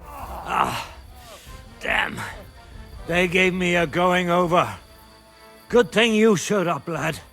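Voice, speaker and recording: An actor playing a middle-aged medieval nobleman and father, speaking in a measured, wry and wary manner for a video game. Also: high voice, expressive, creaky, sharp tone, soft background music, full character voice. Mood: pained, gruff. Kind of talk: video game cutscene acting.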